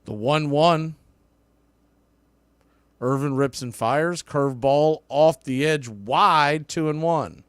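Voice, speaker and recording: A man doing live baseball radio play-by-play in short, clipped phrases, rising to excitement when the action calls for it. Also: on the high side, takes it slowly, pauses often, clear, resonant tone, no room sound. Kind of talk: live sports radio commentary.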